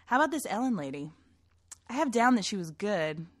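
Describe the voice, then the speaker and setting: A woman's voice: mid-range voice, big pitch swings, a little fast, dry recording, clean studio sound. An actress playing a suspicious, pushy woman who writes and casts an amateur production, in naturalistic dialogue. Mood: brisk, persuasive. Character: suspicious, pushy amateur playwright running auditions. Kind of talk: naturalistic scripted drama dialogue.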